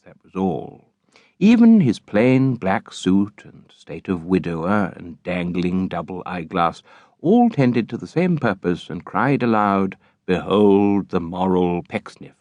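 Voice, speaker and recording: Deep male audiobook narrator, really animated pitch, measured and theatrical. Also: no echo, highs cut off. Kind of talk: expressive scripted literary narration.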